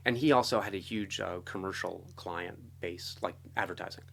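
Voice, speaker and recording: A man's voice: low voice, moderate pace, creaky, a bit bright, husky. A middle-aged American man telling stories as an interview guest in a casual, enthusiastic, rambling way, often saying "like" and "you know". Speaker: interview guest, film and television composer. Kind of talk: casual conversational storytelling.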